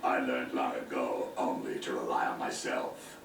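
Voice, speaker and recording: An actor playing a menacing, arrogant sorcerer villain in a video game, taunting in a grandiose, gravelly way. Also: male voice, a bass voice, level tone, distant mic, music in the background, full character voice. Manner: taunting, grandiose, unhurried delivery. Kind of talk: video game cutscene acting. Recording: roomy, noisy game audio replayed from afar.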